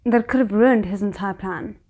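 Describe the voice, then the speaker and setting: A woman's voice: mid-range voice, normal speed, dark tone, slight background noise. A woman giving casual reaction commentary as a host, musing aloud in hesitant fragments.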